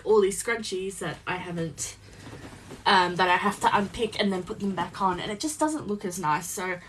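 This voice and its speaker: A young woman with a slightly croaky voice, chatting in a rambling way, full of ums, while she sews on a live stream from her home craft space. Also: mid-range voice, a bit bright, slight background noise, rough recording. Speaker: small business owner live-streaming crafts. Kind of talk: casual chat while sewing. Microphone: phone on a live stream.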